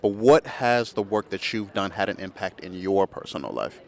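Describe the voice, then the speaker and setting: A man's voice: low-pitched, lively intonation, moderate pace, creaky. A man interviewing a guest, asking casual questions in a friendly, affirming way with many okays.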